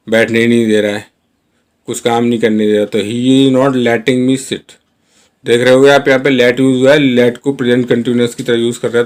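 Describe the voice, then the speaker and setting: A man teaching spoken English in a chatty, casual way, reading each example sentence very slowly and word by word. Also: mid-range voice. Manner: chatty, addresses viewers as guys. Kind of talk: Hindi-medium spoken English lesson.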